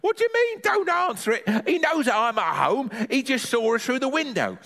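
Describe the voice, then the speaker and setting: A man voicing a cheeky, scheming old grandmother in a high, gossipy voice for a storybook reading. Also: big pitch swings, normal speed, fairly bright tone, heavily acted. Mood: urgent.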